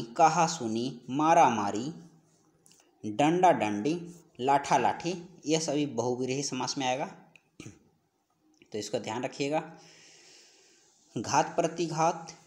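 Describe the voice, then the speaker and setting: Higher-pitched male teacher. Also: darkish tone. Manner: explanatory, repeats key phrases and answers. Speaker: teacher of exam-prep grammar class. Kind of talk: instructional lecture, working through practice questions.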